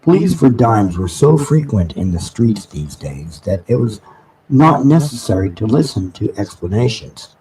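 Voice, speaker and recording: A man reading a novel aloud live as dramatic narration, with deliberate, halting phrasing. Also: on the low side, sing-song, warm tone. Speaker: reader of an audiobook. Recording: noisy amateur live recording with background ambience. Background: background ambient sounds.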